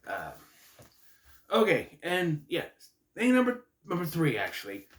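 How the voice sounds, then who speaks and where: A man talks casually to camera from his room at home, a little nasal from a cold he is still shaking. His pitch swings up and down as he rambles and corrects himself. The room gives his voice a noticeable echo. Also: unhurried. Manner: chatty, self-interrupting, lively pitch swings. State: getting over a cold, slightly nasal, clearing throat. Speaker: host of a wrestling review channel. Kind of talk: casual rambling vlog intro. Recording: echoey room.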